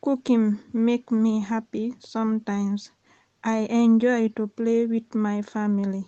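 Slow, careful woman reading a poem. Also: fairly high pitch, little pitch movement, mellow, dry recording, lo-fi sound. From Guinea. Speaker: language student. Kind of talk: reading her own poem aloud.

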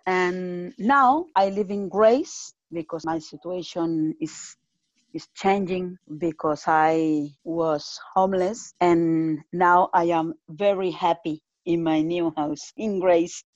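Slow, careful woman from Colombia. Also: fairly low pitch, no echo. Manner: slow, careful learner English. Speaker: ESOL student. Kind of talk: casual self-introduction. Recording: dull lo-fi recording.

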